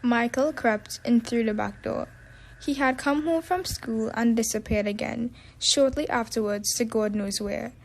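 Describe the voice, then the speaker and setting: A woman's voice: on the high side, a little slow, creaky, poor audio. A woman reading scripted story narration.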